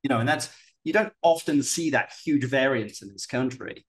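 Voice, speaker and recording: A man's voice: medium pitch, moderate pace. A man hosting a casual interview and asking questions in a chatty way, with lots of "you know"s. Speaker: podcast host.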